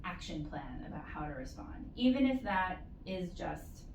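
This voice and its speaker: A woman giving measured, explanatory advice as an interview guest, in a conversational way with stretched emphasis. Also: fairly low pitch, very animated, darkish tone. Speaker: interview guest, university postdoctoral support staff. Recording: roomy echo, distant mic, faint noise.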